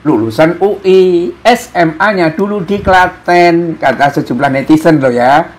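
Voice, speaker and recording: A man's voice: fairly high pitch, measured pace. A man giving informal, mocking political commentary to viewers, full of rhetorical questions and tag questions. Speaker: commentator hosting an opinion show.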